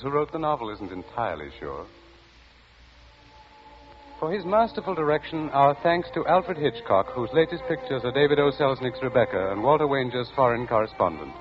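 A man giving a scripted announcement in 1940s radio announcer style. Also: mid-range voice. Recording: dull 1940 radio recording. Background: music.

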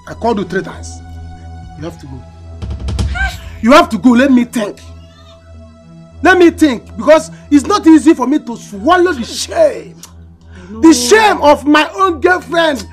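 A man's voice: extremely high pitch, a bit bright, full character voice. An actor playing a shamed, angry man, emphatic and repetitive in a film drama. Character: shamed, angry man rejecting his girlfriend. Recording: faint music underneath.

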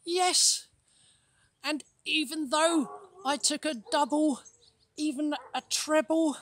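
A woman's voice: sits high, fairly even intonation, slow, fairly bright tone. A woman doing a moaning, self-pitying bald prophet. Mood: sulky.

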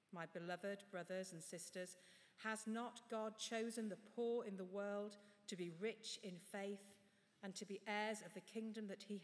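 A middle-aged woman reading a Bible passage aloud from a lectern, measured and deliberate. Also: medium pitch. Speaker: Bible reader. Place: large stone church, indoors. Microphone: lectern mic. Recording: long church reverb.

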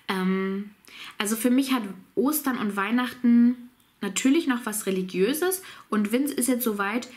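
A woman in her thirties with a cold, chatting casually to camera from a small home office as she answers viewers' questions. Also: fairly high pitch. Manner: relaxed, chatty, laughs easily, reads out questions. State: has a cold.

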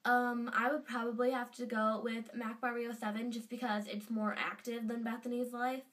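A woman's voice: fairly high pitch, little pitch movement, moderate pace, a bit bright, distant mic. A teenage girl with a stuffy nose from a cold, gushing in a casual fan vlog from her bedroom. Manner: chatty and gushing, lots of fillers. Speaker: vlogger. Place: bedroom indoors. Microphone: webcam at desk distance.

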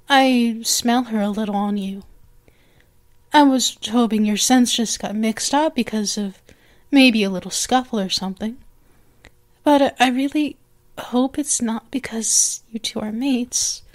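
A young snake-girl monster, acted by a woman for an ASMR roleplay, with a high voice and a slow, lingering delivery. She is possessive and eager, coaxing her listener with halting, trailing phrases. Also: a little mellow. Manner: coaxing, hesitant, trailing off and restarting.

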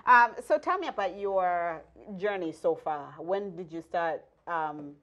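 A woman's voice: fairly low pitch, lively intonation, a little slow, fairly bright tone, dull audio. A young woman hosting a casual interview, reacting readily and asking teasing follow-up questions. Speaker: talk show host, interviewer. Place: small indoor TV studio set.

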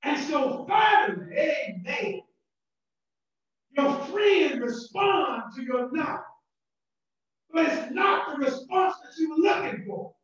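A man with a Southern American accent, a pastor preaching a sermon with shouted emphasis and repeated words. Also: very high-pitched, expressive, slow pace, pauses often. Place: church sanctuary. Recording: distant, very echoey and muffled church recording.

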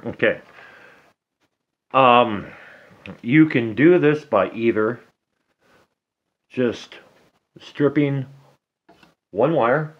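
A middle-aged man giving a casual hands-on repair tutorial at a table indoors, talking slowly and haltingly, half to himself as he works. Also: mid-range voice, dull audio. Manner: slow, halting, talks to himself while working. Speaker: DIY hobbyist demonstrating a repair.

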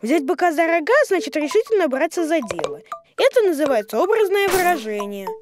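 A woman's voice: high voice, big pitch swings, a little fast, over music. A woman voicing a small, helpful cartoon creature who explains things patiently in a children's show. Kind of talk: animated children's cartoon acting.

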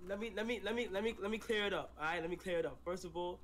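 High-pitched, fast New York young man. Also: crowd noise.

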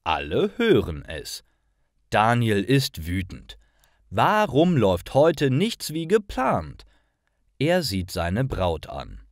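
A man reading stories for language learners in a careful, clearly articulated and expressive way. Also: medium pitch, slow pace, dry recording, studio quality. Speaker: narrator of stories for German learners.

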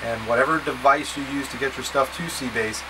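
A man's voice: medium pitch, fairly quick. A man in his thirties giving step-by-step, casually directive instructions to camera as a trip leader, in a plain-spoken way. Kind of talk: instructional packing talk to camera. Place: small wood-panelled boat cabin, indoors. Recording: steady background hum and noise.

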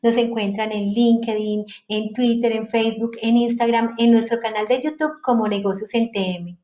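A woman's voice: a little high, fairly even intonation, moderate pace, dark tone, echoey room, muffled recording. A woman co-hosting a show, inviting viewers to follow over a video call. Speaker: show co-host. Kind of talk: show host announcement.

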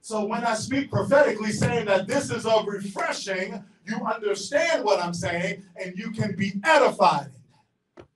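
A middle-aged man with a Southern US accent preaching a sermon as pastor, pacing the stage and swinging between emphatic shouts and quiet asides. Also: high-pitched, animated, moderate pace. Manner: paces and gestures across the stage while preaching. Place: large church auditorium. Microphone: handheld mic, filmed from far back. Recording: echoey hall from far off.

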